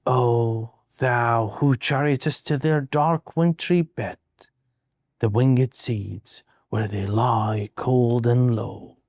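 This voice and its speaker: Male poetry reader, medium pitch, solemn and measured delivery with deliberate pauses, warm relaxed tone, dry recording. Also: no room sound. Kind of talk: slow dramatic poetry recitation.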